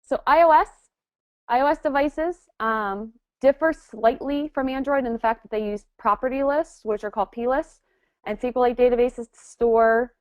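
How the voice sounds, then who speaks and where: A woman's voice: on the high side, dry recording, lo-fi sound. A woman with a cold presenting a technical forensics webinar as the instructor, explaining step by step with frequent "um" fillers. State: has a cold.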